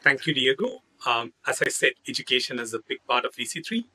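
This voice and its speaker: A man's voice: medium pitch, sing-song, a little fast, pushed and bright, breathy edge, poor audio. A man moderating a conference plenary, who introduces each speaker in a brief, formal way.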